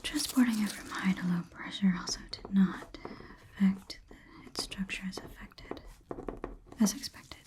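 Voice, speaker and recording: A woman doing soft-spoken ASMR roleplay as a scientist dictating examination notes, methodical and murmuring to herself. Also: mid-range voice, normal speed. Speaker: ASMR performer.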